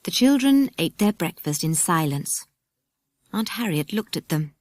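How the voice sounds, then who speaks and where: A British woman reads a children's story as an audiobook narrator. Her voice sits a little low and swings widely in pitch, with expressive delivery. The recording is dry and close. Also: unhurried, dry recording.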